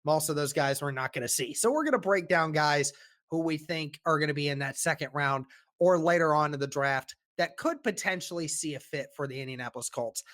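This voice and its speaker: A man co-hosting a casual sports podcast, talking with a sore, strained throat and a relaxed, rambling delivery full of fillers. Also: fairly high pitch, a little fast. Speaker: podcast co-host.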